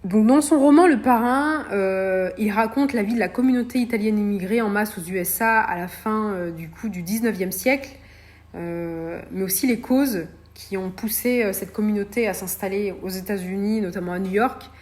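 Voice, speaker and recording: A woman's voice: medium pitch, normal speed, a little mellow. A woman hosting a solo podcast, talking in a hesitant way with many fillers and restarts. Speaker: podcast host.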